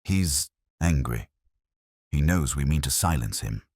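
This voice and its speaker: A man voicing a fearful, guilt-ridden man in an audiobook mystery, speaking gravely and uneasily. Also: extremely low pitch, lively intonation, unhurried, a little mellow, dry recording, clean studio sound, heavily acted. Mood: frightened. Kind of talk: audiobook character dialogue.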